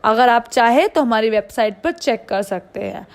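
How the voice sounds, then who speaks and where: A woman's voice: fairly high pitch, lively intonation, moderate pace. A woman with an Indian accent teaching an online language lesson, explaining line by line and checking understanding with questions.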